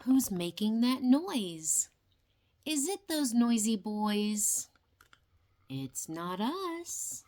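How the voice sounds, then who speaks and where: An older woman reads a children's storybook aloud. Her voice sits fairly high and swings widely in pitch. She takes her time, very slowly drawing out each question with expressive, deliberate drama. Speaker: grandmother reading a storybook aloud. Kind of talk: children's picture-book read-aloud.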